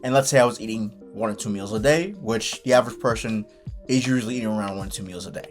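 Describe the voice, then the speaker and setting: A man's voice: lowish voice, fast talker, faint music behind. A young man from Haiti, a fitness coach, giving a blunt motivational talk with casual slang.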